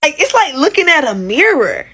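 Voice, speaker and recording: A woman's voice: high-pitched, sing-song, moderate pace, fairly bright tone. A woman from the United States, chatting casually and animatedly into her phone with lots of filler likes. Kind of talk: casual social media clip.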